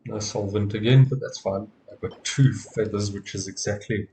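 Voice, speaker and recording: A man's voice: lowish voice, fairly even intonation, moderate pace, dark tone, slight background noise. A man giving casual live gameplay commentary, muttering to himself and often repeating words. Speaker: game streamer. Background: game music.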